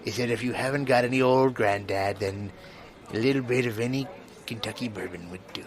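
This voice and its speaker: A man's voice: mid-range voice, a little fast, close to an everyday voice. An actor playing an insistent, mock-courteous drinker who speaks for his companion, in improvised audio comedy. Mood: glib, persuasive. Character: smooth-talking thirsty drinker, self-appointed spokesman for his companion.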